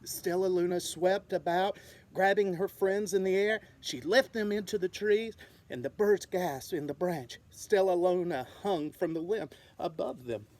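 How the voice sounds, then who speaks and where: A storyteller reading a picture book aloud to children, gently and simply explaining as they go. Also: medium pitch, measured pace. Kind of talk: expressive picture-book narration.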